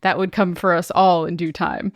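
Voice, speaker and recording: A woman's voice: on the low side, little pitch movement, moderate pace, dry recording, studio quality. A woman co-hosting a podcast, reading listener stories aloud expressively with casual asides.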